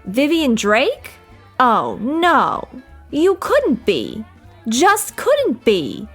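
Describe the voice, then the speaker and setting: A woman plays a naive, kindly nightclub dancer from an old noir radio comedy. Her voice is on the high side and lilts widely, earnest and a little dim, over faint music. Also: huge pitch swings, drawn-out words, a bit bright, husky, full character voice. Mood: puzzled. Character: sweet, naive, slightly dim nightclub showgirl.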